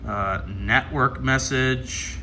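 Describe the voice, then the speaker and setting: American male, bright tone, average pitch, casual hobbyist talking himself through a gadget, some background noise. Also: very slow. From Ohio, United States. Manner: thinking aloud, muttering through menu items. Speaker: radio hobbyist presenting an unboxing. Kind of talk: casual hands-on unboxing commentary.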